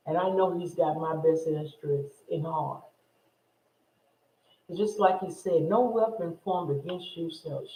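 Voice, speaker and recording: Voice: medium pitch, darkish tone. A speaker in their sixties chatting casually to viewers, rambling and repeating phrases as they go. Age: 60s. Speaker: YouTube vlogger. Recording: echoey room over a tinny phone-like line.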